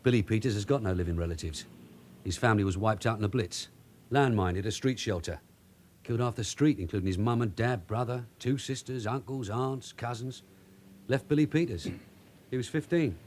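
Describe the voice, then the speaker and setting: An actor playing a streetwise, bossy London detective sergeant in a radio drama, with a London accent and brisk, colloquial, teasing talk. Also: male voice, lowish voice, darkish tone, a little hiss or hum, close to an everyday voice. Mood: matter-of-fact.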